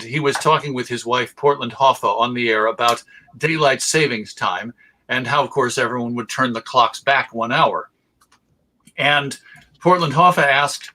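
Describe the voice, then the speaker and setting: An American man giving an informal online talk as a presenter, halting, courteous and drily humorous. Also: medium pitch, bright, forceful tone. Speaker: presenter of a radio history talk. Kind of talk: informal lecture to an online audience.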